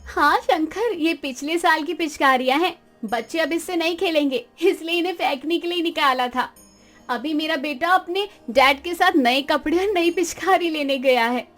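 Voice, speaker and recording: A woman's voice: high-pitched, moderate pace, soft background music, voice pushed up. A woman voicing a kind, outspoken well-off housewife in a cartoon story, speaking emphatically. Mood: cheerful, explaining. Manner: emphatic, expressive.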